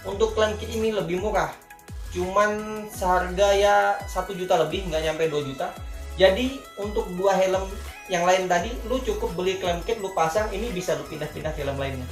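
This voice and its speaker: A man's voice: sits high, moderate pace. A man with a Jakarta Indonesian accent giving a casual product review in informal, colloquial talk, often addressing his viewers directly. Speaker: vlogger reviewing gear. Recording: echoey room with faint music.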